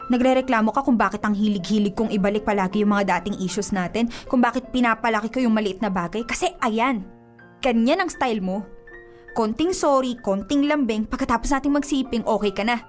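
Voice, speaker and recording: A woman's voice: on the high side, brisk, natural voice. A woman playing a hurt, fed-up young woman reproaching her boyfriend in a radio drama, speaking emphatically over music. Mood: hurt, accusing. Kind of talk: radio drama acting in Tagalog. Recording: music behind the dialogue.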